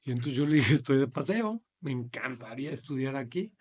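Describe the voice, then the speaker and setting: A middle-aged Mexican man with a Mexican accent, a podcast guest telling his life story in an animated, slangy way. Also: mid-range voice, fairly quick, husky. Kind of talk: storytelling in casual interview.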